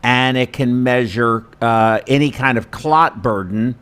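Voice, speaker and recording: A man hosting an informal instructional webinar, talking in a chatty, self-deprecating, halting way. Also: mid-range voice, a bit bright, clear, resonant tone. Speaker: host and perfusionist instructor. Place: studio.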